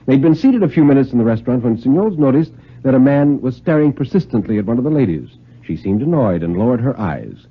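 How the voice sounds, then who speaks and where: A man's voice: mid-range voice, very animated, fast, hardly any pauses, husky. A man giving a dramatic reading of thriller stories in the style of 1950s radio narration. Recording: lo-fi 1950s radio recording, music behind.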